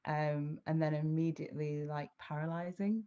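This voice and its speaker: A woman with a very low voice who reads poetry and then talks things through with pauses and fillers. She sounds thoughtful and a little tentative. Her audio is dull and lo-fi, as on a video call. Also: fairly even intonation, warm tone, smooth. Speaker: poet and guest. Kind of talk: spoken-word poetry and reflective conversation.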